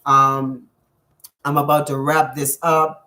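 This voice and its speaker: A Bahamian man vlogging casually to his viewers, effusive, full of thanks and shout-outs, with frequent ums. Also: on the high side, level tone, slow. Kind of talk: casual direct-to-camera vlog.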